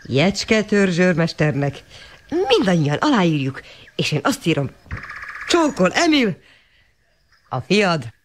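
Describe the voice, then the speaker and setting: A woman's voice: lowish voice, big pitch swings, long pauses. A woman playing an earnest, devoted, somewhat hesitant schoolboy in a radio drama. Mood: resolute, affectionate. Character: earnest, devoted schoolboy troubled about his mother. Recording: noisy 1980 radio drama recording.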